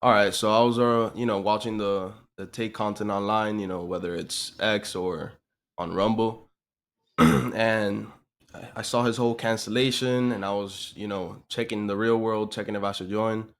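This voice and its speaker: A man of about twenty-two who grew up in Miami speaks as a guest in a casual interview. His voice sits on the low side as he talks through his own experience. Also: fairly even intonation, moderate pace. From Miami, USA. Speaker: interview guest, online business owner.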